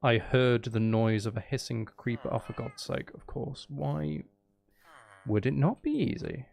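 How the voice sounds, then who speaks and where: A man's voice: mid-range voice, animated, a little slow, creaky, darkish tone, slight background noise. A man chatting casually as he hosts a gameplay livestream, teasing his viewers with playful mock threats. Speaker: streamer, host.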